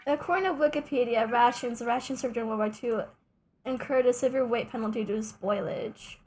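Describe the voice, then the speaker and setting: A woman's voice: fairly high pitch, fairly quick, a little mellow, slight background noise. A young adult woman streaming a game, chatting casually in a rambling, self-mocking way with joking asides. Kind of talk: casual gameplay streaming chat.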